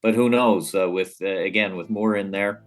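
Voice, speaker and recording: A man giving his view in a casual roundtable discussion as a guest, pausing mid-phrase as he reasons. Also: deep voice, fairly even intonation, faint music behind.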